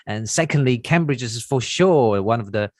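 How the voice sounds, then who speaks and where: Lively British man hosting. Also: mid-range voice, normal speed, no echo, clean studio sound. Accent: British English. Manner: enthusiastic, encouraging interjections. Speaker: host. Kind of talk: casual interview.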